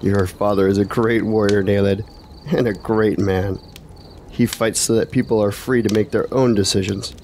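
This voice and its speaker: Man playing stern warrior mentor, lowish voice, exhorting, solemn, formal phrasing, fantasy radio drama, faint cricket noise. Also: fairly even intonation, moderate pace, a little mellow, close to an everyday voice.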